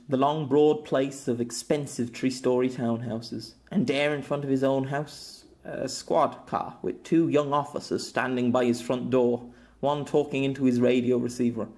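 A man's voice: mid-range voice, steady tone, moderate pace, darkish tone. A man reading a scripted fiction story as a dramatic audiobook narrator.

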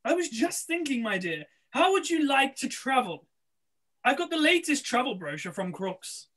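Young man playing a high, bright pantomime villain. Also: sing-song, moderate pace, full character voice. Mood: wheedling. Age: young adult. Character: scheming, theatrical male pantomime villain. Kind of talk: amateur pantomime read-through. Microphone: video call.

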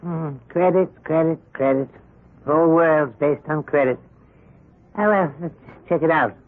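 An actor playing a smug, mock-courteous, husky-voiced crooked credit boss in a 1970s radio drama. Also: male voice, on the high side, measured pace. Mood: smug, mock-polite. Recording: thin lo-fi vintage radio recording.

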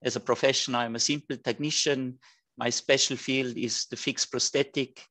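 A man in his fifties with a Liechtenstein German accent gives a friendly online lecture, his phrasing a little halting. His voice sits in a middle pitch and comes through dry and close over a video call. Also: steady tone, pushed and bright, dry recording. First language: German (Liechtenstein dialect). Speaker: dental technician educator.

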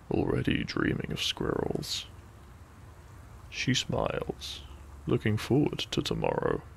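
Gravelly, hushed man telling a bedtime story. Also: medium pitch, slight background noise. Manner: soothing, hushed, lingering pauses between short phrases. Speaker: narrator of sleep-aid audio. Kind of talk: soft, gentle bedtime story narration, ASMR-like.

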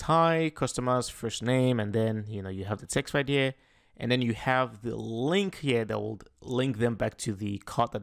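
A man narrating a screen-recorded tutorial in an easygoing, explanatory way. His voice sits in a middle pitch, and he stumbles and restarts now and then as he walks through each setting. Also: vocal fry. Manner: casual, explanatory, with frequent fillers and restarts. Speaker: tutorial host. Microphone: voice-over on a screen recording.

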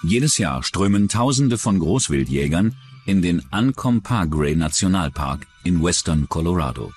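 A man narrates a true-crime documentary in a very low voice. His scripted delivery runs over faint music. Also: sing-song, a little slow, a little mellow.

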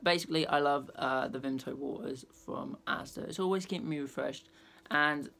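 A young man with a croaky throat, chatting hesitantly to his webcam from a small bedroom, full of ums. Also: on the high side, moderate pace, pushed and bright. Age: young adult. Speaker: vlogger. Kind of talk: casual chat to camera. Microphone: webcam at desk. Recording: backing music playing.

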